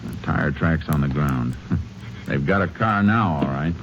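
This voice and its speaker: An actor playing a steady, seasoned Texas Ranger in a 1950s radio drama, speaking tersely and with authority. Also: male voice, a bass voice, a little slow. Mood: grim, watchful. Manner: terse, authoritative, matter-of-fact. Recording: noisy, muffled 1950s radio recording.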